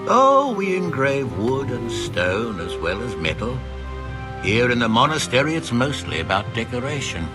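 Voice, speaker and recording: A man's voice: medium pitch, sing-song, moderate pace, music in the background, close to an everyday voice. An actor playing a learned older master engraver in a video game, wordy and dryly sarcastic about his apprentice. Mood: dry, patient. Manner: verbose, dryly sarcastic, ornate phrasing. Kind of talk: video game dialogue acting.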